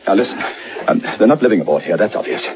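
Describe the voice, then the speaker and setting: An actor playing an anxious, obsessive British gentleman in a radio horror drama, halting and urgent in his speech. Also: on the low side, expressive, close to an everyday voice. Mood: agitated. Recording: dull radio recording, faint music.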